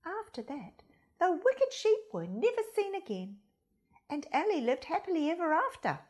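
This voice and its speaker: A woman reading a children's story aloud in a dramatic, deliberate way, with hushed emphasis. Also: extremely high pitch, big pitch swings.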